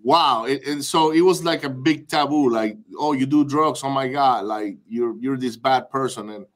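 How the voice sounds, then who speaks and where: A man's voice: medium pitch, normal speed. Casual man hosting a podcast. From Venezuela. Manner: casual, chatty, many "uh" fillers. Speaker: podcast host. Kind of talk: podcast interview.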